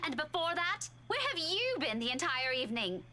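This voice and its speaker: A woman playing a sharp-tongued, fed-up and defiant young woman in a visual novel game, in a noisy recording. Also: sits high, sing-song, normal speed, exaggerated voice. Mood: angry, stern.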